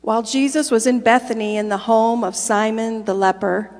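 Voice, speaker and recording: A pastor's wife making church announcements and reading scripture in a friendly, direct way. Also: female voice, a little high, fairly even intonation, normal speed, a little mellow, long reverb tail. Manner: friendly, direct address with fillers. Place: church sanctuary.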